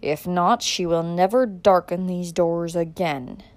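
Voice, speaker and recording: A woman's voice: fairly low pitch, a little slow, dry recording, lightly acted. A woman voicing a proud, stern older man, a domineering father with a stiff, commanding manner, in a storybook reading. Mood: cold, stern.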